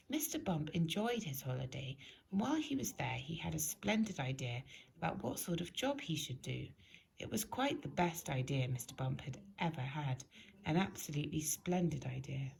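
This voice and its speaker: Animated woman reading a children's storybook. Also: deep voice, normal speed, creaky, rough recording. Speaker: storybook reader.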